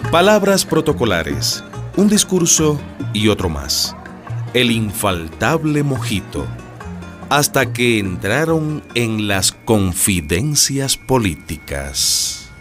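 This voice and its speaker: An actor playing the narrator of a historical radio drama, telling it dramatically with dry wit. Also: male voice, medium pitch, big pitch swings, slow, over music. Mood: wry.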